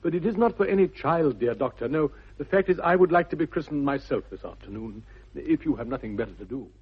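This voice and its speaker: An actor playing a well-bred English gentleman in a 1960s radio comedy, speaking with formal, measured politeness. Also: a little high, lively intonation, husky. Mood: politely casual. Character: well-bred young English gentleman feigning mournful gravity. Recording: dull lo-fi vintage radio recording.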